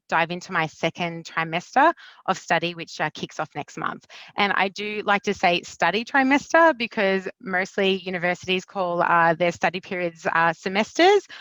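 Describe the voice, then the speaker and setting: A woman's voice: on the low side, fairly bright tone, no echo, highs cut off. A young woman from Australia hosting and introducing a webinar, chatty and full of ums and uhs. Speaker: event host, organisation representative.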